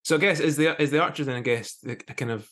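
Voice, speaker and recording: A man's voice: a little high, quick pace, a bit bright. A man in his thirties chatting as a podcast interviewer over a video call. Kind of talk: casual interview chat. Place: indoor room on a video call.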